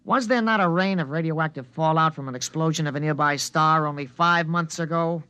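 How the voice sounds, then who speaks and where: An actor playing a brash, excitable young gambler in a 1950s radio drama. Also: male voice, a little high, a little fast, clear, resonant tone, muffled recording. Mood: challenging.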